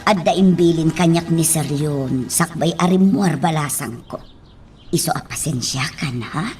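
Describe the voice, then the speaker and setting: Woman acting a low-pitched, deferential nanny. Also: moderate pace, a little mellow, soft background music, close to an everyday voice. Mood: apologetic, firm. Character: deferential female household nanny, gently firm. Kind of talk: radio drama acting. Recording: faint background noise.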